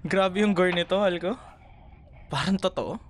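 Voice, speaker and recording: A man with a Filipino accent playing a gentle, doting young boyfriend who soothes his partner in intimate ASMR roleplay. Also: high-pitched, unhurried. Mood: engrossed. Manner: soothing, affectionate, soft murmurs.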